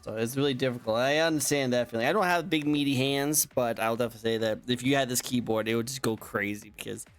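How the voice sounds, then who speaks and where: A man's voice: medium pitch, fast, hardly any pauses, faint music behind. A man hosting a casual online interview show, upbeat and playful, often repeating his own phrases. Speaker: talk show host.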